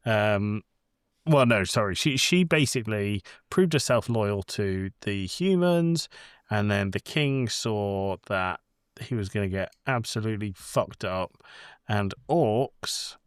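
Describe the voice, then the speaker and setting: Male podcast co-host, lowish pitch, dry close sound; casual, sweary, rambling film chat. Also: lively intonation, takes it slowly, clean tone, no echo. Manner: informal, sweary, rambling explanations.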